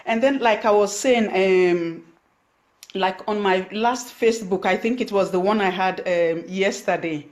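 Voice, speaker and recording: A woman with a Sierra Leonean accent talks on a live stream, her voice sitting at a middling pitch. She speaks English as a second language, full of "um"s and restarts, and breaks off often to thank viewers by name. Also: unhurried, a little mellow. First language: Krio. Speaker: online business coach. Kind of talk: Facebook live stream talk.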